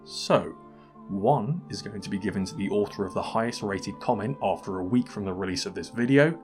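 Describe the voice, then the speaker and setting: A man's voice: lowish voice, brisk, music in the background. A man hosting a scripted comedic video game review, narrating in a dry, wry manner.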